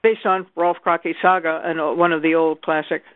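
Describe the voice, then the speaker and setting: A woman's voice: sits low, level tone, moderate pace, no echo. A woman literary scholar discussing books, precise and knowledgeable, correcting herself as she goes. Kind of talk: casual book discussion. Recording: dry tinny phone line.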